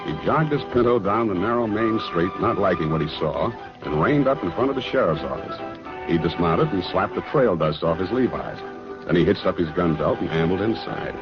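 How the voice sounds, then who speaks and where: A man puts on a tough American Western storyteller voice. It drops low and drawls through the pulp melodrama. The old radio recording is dull and muffled. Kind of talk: dramatic reading of pulp Western.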